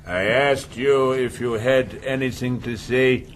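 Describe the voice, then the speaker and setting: An actor playing a domineering, cynical, shrewd sea captain with a taunting manner in a radio drama. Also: male voice, medium pitch, steady tone, slow pace, slight background noise, lightly acted. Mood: mocking. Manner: taunting, sardonic.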